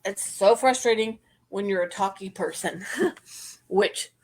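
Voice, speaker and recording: A woman's voice: mid-range voice, unhurried, rough recording. A middle-aged woman co-hosting a podcast, talking in earnest, run-on explanations in casual chat. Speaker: podcast co-host.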